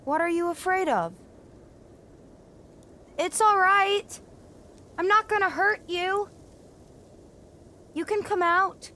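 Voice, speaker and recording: A woman's voice: extremely high pitch, animated, slow, long pauses, sharp tone, slight background noise, full character voice. A woman playing a determined, kind young female mountain climber, plucky and inquisitive, in a family audio drama. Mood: curious.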